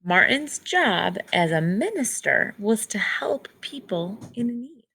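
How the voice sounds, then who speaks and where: A woman reads a children's picture book aloud in a mid-pitched voice with noticeable creak. She speaks in short, simple phrases, slipping from the reading into informal explanations aimed at young listeners. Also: big pitch swings, takes it slowly, sharp tone. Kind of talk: read-aloud storytelling with simple explanatory asides.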